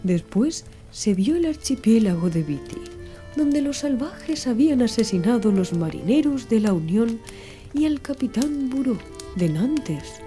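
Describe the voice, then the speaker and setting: Casting: female literary audiobook narrator, slightly high voice, very warm and relaxed, expressive, measured delivery. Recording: music behind the voice. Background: background music.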